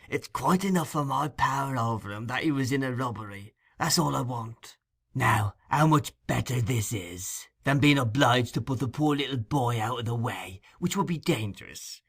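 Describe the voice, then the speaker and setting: A man voicing a crafty old gang master in an audiobook, with a shrill, eager, wheedling way of talking. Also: animated, normal speed, a bit bright, slightly rough. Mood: wheedling, persuasive. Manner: eager, insinuating, fawning.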